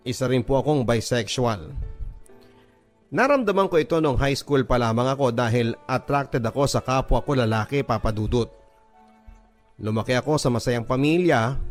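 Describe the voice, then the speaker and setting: A man reading a scripted first-person love story as narration for a radio drama. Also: medium pitch.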